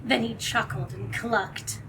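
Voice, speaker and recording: A woman with a fairly high voice reads a children's storybook aloud. She takes it very slowly and dramatically, stretching words out. She sounds a bit distant, with faint noise behind her. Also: steady tone. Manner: theatrical, deliberate, with drawn-out words. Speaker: storybook reader.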